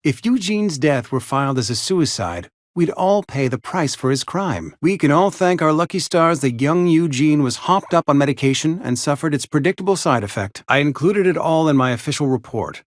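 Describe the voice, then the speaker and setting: A man plays a prim, mournful frontier barber and undertaker from a video game, loyal to his company. His voice sits a little high and swings expressively up and down. He talks in florid, over-polite, formal sentences, in a dry, close recording. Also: animated, moderate pace, few pauses, dry recording, natural voice. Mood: prim, matter-of-fact. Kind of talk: theatrical video game character acting.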